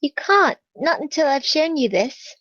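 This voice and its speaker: A woman playing a high-voiced, elusive young woman. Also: very animated, measured pace, fairly bright tone, no echo, muffled recording, exaggerated voice. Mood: elusive, coaxing. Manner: evasive, coaxing. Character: elusive, dreamy woman in her late twenties. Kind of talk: screenplay table read acting.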